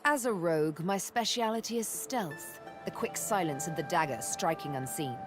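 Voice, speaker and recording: A woman as a cool, low-voiced assassin. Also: moderate pace, music in the background, lightly acted. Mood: poised, confident. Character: cool, confident female rogue assassin, silkily menacing. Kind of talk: video game voice acting.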